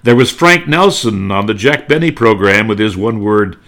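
A man's voice: on the low side, expressive, moderate pace, a bit bright. A man narrating nostalgically, with theatrical delivery and impressions of catchphrases. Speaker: narrator reminiscing about old-time radio.

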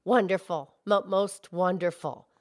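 A woman doing a low, slow-spoken cow. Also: steady tone, natural voice. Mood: gentle. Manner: low, soft, deliberate and hushed. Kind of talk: storybook narration in character.

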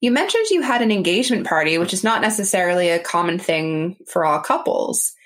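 Female co-host, lower register, curious and encouraging interviewer. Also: big pitch swings, fairly quick. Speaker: podcast co-host. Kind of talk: casual podcast interview.

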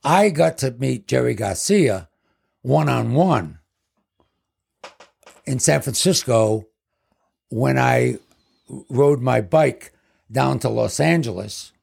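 Older man in his 70s, guest storyteller, gravelly husky voice, rambling. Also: mid-range voice, lively intonation, slow pace, long pauses, darkish tone. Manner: rambling, leans on "basically". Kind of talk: casual storytelling.

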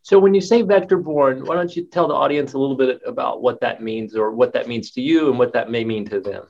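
A man's voice: medium pitch, a little fast, creaky, darkish tone. Upbeat male host, dull lo-fi sound. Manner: upbeat, enthusiastic. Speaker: interview host. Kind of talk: interview hosting, casual.

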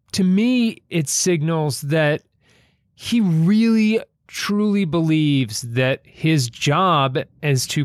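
A man hosting a casual discussion of his own writing, speaking in an earnest, somewhat halting way. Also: fairly high pitch, no room sound, studio quality. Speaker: host and writer.

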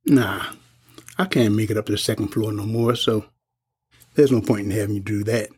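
An actor playing a frail, kindly old soldier, husky and folksy, in an audio drama. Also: male voice, fairly low pitch, fairly even intonation, normal speed. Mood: gentle, fond. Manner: folksy, gentle.

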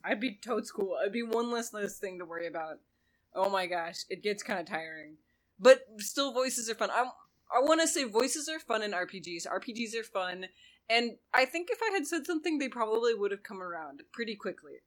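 A woman's voice: medium pitch, lively intonation, a little fast. Chatty woman who laughs easily. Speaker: podcast co-host. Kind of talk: casual discussion podcast.

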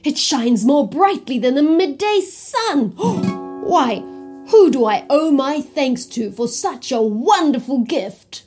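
A woman storyteller playing a fussy, excitable king with a squeaky, indignant voice, over guitar. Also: takes it slowly. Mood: amazed. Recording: guitar music behind.

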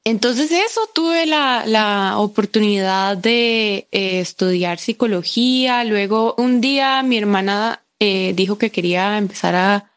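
A woman's voice: mid-range voice, unhurried, few pauses. A young woman with a Costa Rican Spanish accent, an interview guest talking in a chatty, enthusiastic way, full of fillers and tag questions. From Costa Rica. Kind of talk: conversational interview.

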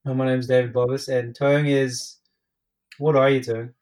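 A man's voice: mid-range voice, little pitch movement, moderate pace, darkish tone. An Australian man co-hosting a casual podcast over a remote call, joking and self-deprecating. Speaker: podcast co-host.

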